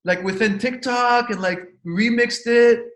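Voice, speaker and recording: Male interview host, high voice, halting with fillers, echoey room. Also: steady tone, a bit bright. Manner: hesitant, many fillers and repeats. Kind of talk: casual interview chat. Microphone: video call.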